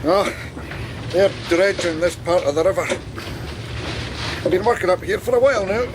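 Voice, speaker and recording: A man's voice: high voice, moderate pace, lightly acted. An actor playing a chatty river boatman in a radio drama, with a Scottish accent and a folksy, darkly humorous manner. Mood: wry, chatty. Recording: noisy outdoor river boat sound effects.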